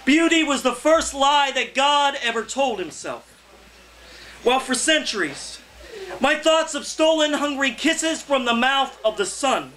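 High-pitched, forceful man declaiming poetry. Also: expressive, unhurried, slight background noise, rough recording. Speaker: poet. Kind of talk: live poetry reading, declaimed. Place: indoor cafe reading before a small audience.

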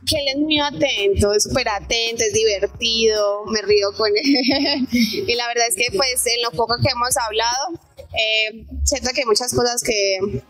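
A young woman with a Colombian accent answering questions on a plaza, relaxed and hesitant with fillers. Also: on the high side, normal speed, faint music behind, low-quality recording. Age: young adult. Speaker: interviewee. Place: outdoor town plaza. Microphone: handheld mic held by interviewer.

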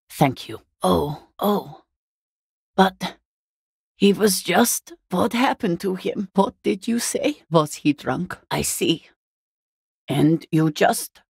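A tired, worried middle-aged woman, a working-class mother in a dramatic video game. Her voice is low and gravelly, and she speaks slowly and plainly, with halting, subdued delivery. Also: dry recording, studio quality, exaggerated voice. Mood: stunned, subdued. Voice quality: husky, gravelly.

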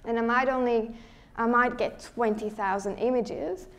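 A young woman from Slovakia with a Slovak accent, speaking as a guest presenter to a live audience in a lecture hall, friendly and relaxed, restarting sentences as she thinks. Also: on the high side, a little slow. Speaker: guest speaker, underwater photographer. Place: lecture hall at a photo show.

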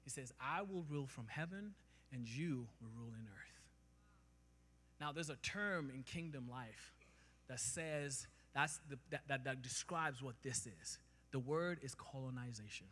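A man in his thirties from Kenya, preaching a sermon on stage with a handheld mic, building to loud emphatic peaks. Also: medium pitch, unhurried, breathy edge. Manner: preaching with rising emphasis, gesturing while walking the stage. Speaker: pastor. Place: large church stage, indoors. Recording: long hall reverb.

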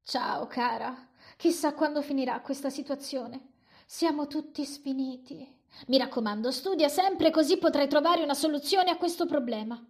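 A woman's voice: high voice, normal speed, a little mellow. A woman playing a weary, loving mother in a children's cartoon, tired and caring.

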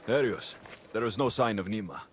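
A man's voice: on the low side, a little fast, slight background noise, natural voice. An actor voicing a brash young male warrior in a video game, blunt and cocky. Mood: watchful, terse.

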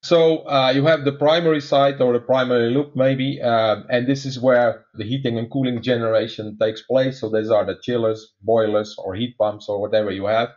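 A man with a Dutch accent, a guest expert explaining technical systems in conversation, pausing often with fillers. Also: medium pitch, fairly even intonation, darkish tone, lo-fi sound. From Netherlands. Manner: many "uh" fillers, measured explaining.

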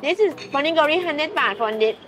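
A woman's voice: high-pitched, fast, fairly bright tone, soft background music. A Thai woman hosting a food vlog in simple, broken English with Thai words mixed in, giggling often, at an outdoor restaurant table at night, recorded by a camera across the table. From Thailand. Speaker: vlog host. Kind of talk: casual food vlog chat.

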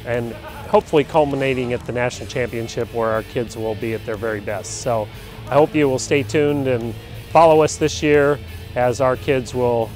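Middle-aged head coach outdoors. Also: male voice, mid-range voice, darkish tone. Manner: measured, pausing with fillers. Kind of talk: promotional team introduction. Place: outdoors on a college campus. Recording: light background music.

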